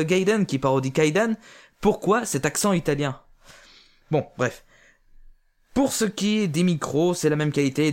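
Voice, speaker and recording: A man with a slightly higher voice talks through a review of an amateur audio drama. He hesitates often, filling gaps and restarting his sentences as he goes. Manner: hesitant, many fillers and restarts. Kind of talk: casual spoken review.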